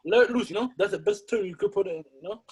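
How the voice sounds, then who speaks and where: A man's voice: high-pitched, rapid-fire, slightly rough. A man co-hosting a casual podcast, talking emphatically and animatedly, often ending with "you know?".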